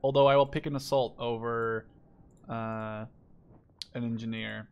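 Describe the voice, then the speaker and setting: A man in his twenties giving casual, rambling, sweary gameplay commentary in an excitable way. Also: medium pitch, unhurried, clear, resonant tone, dull audio. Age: 20s. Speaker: gamer, video host. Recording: loud game gunfire behind the voice. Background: gunfire and machine guns from game.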